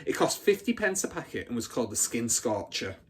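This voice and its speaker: A man's voice: medium pitch, big pitch swings, a little fast, fairly bright tone, slightly rough. A man voicing a boastful, battle-hardened older schoolgirl in a storybook, telling her exploits with swaggering drama. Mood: boastful, relishing.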